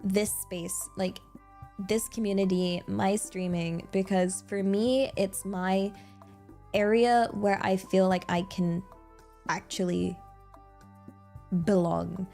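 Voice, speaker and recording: A young woman with a British accent talks through her thoughts on a livestream at an unhurried pace, full of hesitant fillers and restarts. Her tone is reflective and candid, with soft music playing faintly behind her. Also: mid-range voice, fairly even intonation, gravelly. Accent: British English. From England. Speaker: streamer. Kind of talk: casual chat with live chat readers.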